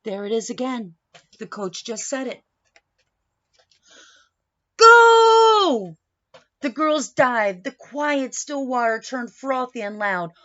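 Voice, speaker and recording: Expressive woman reading aloud, slow and high-pitched. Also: highs cut off. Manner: animated, dramatic, engaging listeners with questions. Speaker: read-aloud storyteller. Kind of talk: expressive picture-book read-aloud with asides and questions to young listeners.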